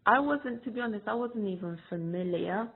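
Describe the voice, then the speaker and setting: A woman from Ghana giving a reflective, measured personal testimony about her own past. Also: medium pitch, slight background noise. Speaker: storyteller sharing personal experience. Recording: tinny phone-like line.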